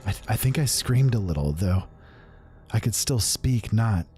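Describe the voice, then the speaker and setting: Man narrating a dark tale, lowish voice. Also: lively intonation, moderate pace, over music. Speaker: storyteller. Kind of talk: spoken horror story narration.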